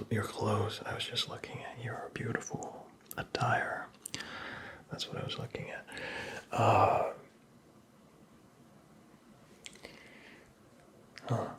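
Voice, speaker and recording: Male actor as smitten prince, breathy whisper, slow pace, halting, teasing, awkwardly charming, ASMR roleplay. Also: mid-range voice, fairly bright tone. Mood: flustered, admiring.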